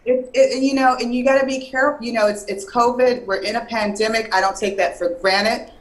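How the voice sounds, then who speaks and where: A woman's voice: a little high, fairly even intonation, brisk, poor audio. A woman from Miami answering as an interview guest in casual conversation, earnest and emphatic, often repeating and listing for emphasis. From Miami, Florida.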